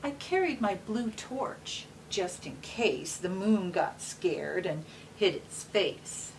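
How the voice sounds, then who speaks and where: A woman talking and reading aloud to young children, explaining things simply and patiently with gentle questions. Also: medium pitch, very animated, slow pace. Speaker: read-aloud host for children. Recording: distant mic with faint background noise.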